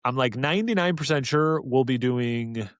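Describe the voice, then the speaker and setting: A man's voice: mid-range voice, moderate pace, clear, resonant tone, no room sound. A man co-hosts a podcast in a loose, conversational way. His delivery is informal and full of joking banter, like someone chatting with a close friend. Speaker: podcast host. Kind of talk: casual podcast chat.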